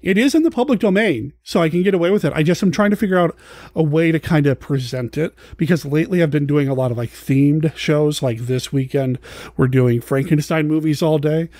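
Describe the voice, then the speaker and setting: A man's voice: fairly high pitch, brisk, a little mellow, no echo. A man hosting a podcast in casual, unscripted chat, rambling and thinking aloud as he corrects himself. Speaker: podcast host.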